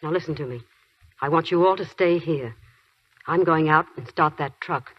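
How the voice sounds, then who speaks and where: An actress playing a steady head army nurse with a husky voice, taking charge in a 1940s radio drama. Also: female voice, low-pitched, moderate pace. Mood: firm. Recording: dull lo-fi 1940s radio broadcast.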